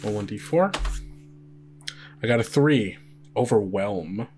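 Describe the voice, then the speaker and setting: A man narrating an improvised tabletop game scene as game master, talking in a halting, conversational way as he pieces images together. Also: lowish voice, animated, a little mellow, breathy edge. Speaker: game master of an actual-play podcast. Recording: guitar music under the voice. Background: plucked guitar music.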